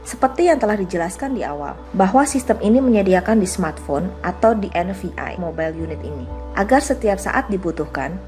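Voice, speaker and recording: A woman's voice: medium pitch, expressive, fairly quick, a little mellow, faint music behind. A woman giving a scripted, explanatory product demo narration.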